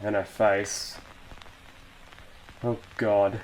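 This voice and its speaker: A man's voice: on the low side, flat delivery, extremely slow, slight background noise, low-quality recording. A man doing a casual video reaction, reading captions aloud and muttering sweary asides. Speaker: YouTube reaction host.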